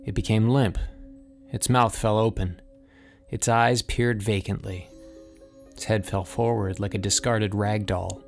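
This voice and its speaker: Lowish-voiced male audiobook narrator. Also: steady tone, a little slow, vocal fry, faint music behind. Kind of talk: scripted narration of a suspense story.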